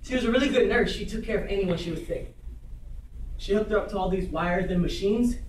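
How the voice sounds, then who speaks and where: A man's voice: sits high, a little fast, close to an everyday voice. A man performing a dramatic stage monologue as a meek, childlike, grieving husband, hesitant and stammering over repeated words. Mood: anxious, wistful. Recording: roomy, distant microphone, faint noise.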